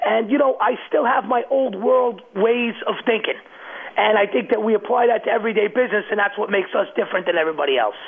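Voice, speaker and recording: A man's voice: sits high, fast talker, creaky, breathy edge. A man with a New York accent chatting casually as an interview guest, plain-spoken and streetwise, over a phone line. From Long Island, New York. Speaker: interview guest, used car dealer. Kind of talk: casual chat. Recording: tinny, narrow phone line.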